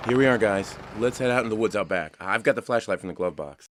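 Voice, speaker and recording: A man playing a steady, caring father in a family audio drama, speaking calmly and reassuringly. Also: fairly low pitch, fast, music in the background, lightly acted. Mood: calling. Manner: calm, reassuring, protective. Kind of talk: amateur audio drama acting. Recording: noisy background.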